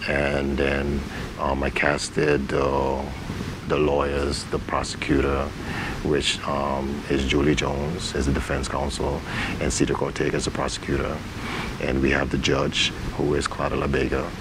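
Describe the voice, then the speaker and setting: Really deep creaky guy talking in an interview, kinda halting and thoughtful, lots of background noise. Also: monotone. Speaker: stage play director and actor. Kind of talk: interview answers about a community stage play. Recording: noisy recording with rumbling ambience.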